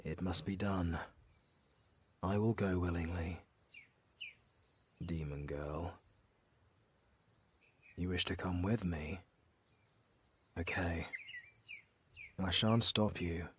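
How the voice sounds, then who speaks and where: A man playing a noble, resigned demon hunter in a fantasy audio drama, solemn and measured. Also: low-pitched, breathy edge, full character voice. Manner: solemn, measured, hushed at times.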